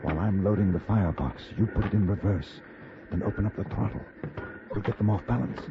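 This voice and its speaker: A watchful gentleman captive in a radio western, terse and controlled. His voice is very low and gravelly, over a tinny, old broadcast recording. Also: fairly quick, background noise. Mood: quietly determined. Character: calm, watchful gentleman captive plotting escape. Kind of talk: 1950s radio western acting.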